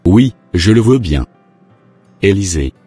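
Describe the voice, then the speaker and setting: A curt, secretive husband who brushes off questions with irritation. His voice sits low and husky, with faint music behind him. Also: big pitch swings, takes it slowly, mellow, no room sound, exaggerated voice. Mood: defensive. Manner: brusque, dismissive.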